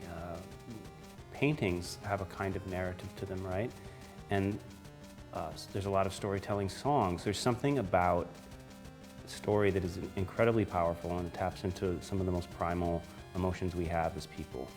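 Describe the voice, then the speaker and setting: A man giving reflective interview commentary in measured, emphatic phrases with pauses. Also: sits low, fairly even intonation, darkish tone, soft background music.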